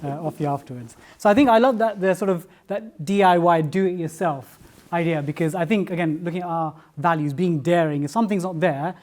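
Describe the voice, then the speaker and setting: A moderator with a middle-pitched voice runs the panel and thanks each speaker. The tone is appreciative and brisk as they hand over to the next guest. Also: a little mellow.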